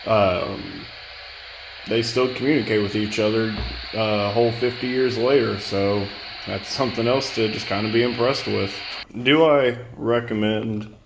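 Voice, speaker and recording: A man with a fairly low voice presents a hands-on gear review. He talks casually and explains in plain terms. Some background noise sits faintly behind his voice. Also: low-quality recording. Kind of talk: casual product demonstration.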